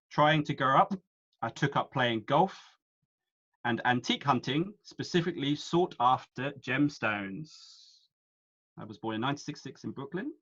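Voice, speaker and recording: A man hosting a quiz over a video call, reading each clue slowly and then again. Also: mid-range voice, a bit bright, highs cut off. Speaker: quiz host.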